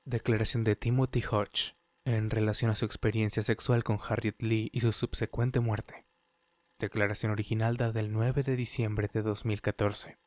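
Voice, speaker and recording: A man's voice: on the low side, dry recording, natural voice. A man voicing a formal male archivist who opens a recorded statement in a fan dub audio drama. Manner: formal, flat and measured. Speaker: archivist reading the statement intro. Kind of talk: scripted audio drama intro.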